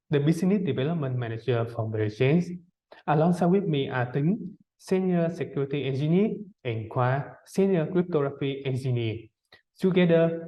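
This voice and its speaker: A man's voice: a little high, dark tone, roomy sound, low-quality recording. A man presenting as a business development manager, reading a formal, scripted presentation. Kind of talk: prepared presentation.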